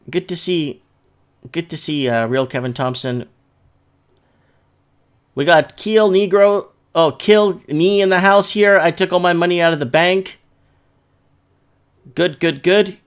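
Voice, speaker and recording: A man's voice: on the high side, a little slow, pauses often, clear, resonant tone. A man hosting a casual livestream, talking in an informal, slangy, rambling way as he chats and reads comments and news aloud. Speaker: live show host.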